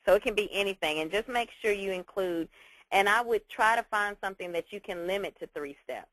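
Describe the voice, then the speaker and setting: A woman's voice: medium pitch, fairly quick, a bit bright, dry recording, low-quality recording. Reassuring woman teaching, close dry sound. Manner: explanatory, reassuring, repeats key points. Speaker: teacher leading a class conference call. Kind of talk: informal spoken instruction.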